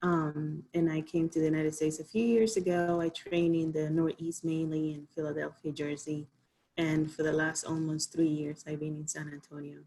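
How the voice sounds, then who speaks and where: A woman physician from the Dominican Republic explains a health topic to an online audience over a video call. Her voice sits low and sounds husky. She talks in a halting way, full of fillers and restarted phrases. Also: flat delivery, warm tone, poor audio. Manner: hesitant, many ums and restarts. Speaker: physician giving a health talk. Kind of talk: informal online presentation.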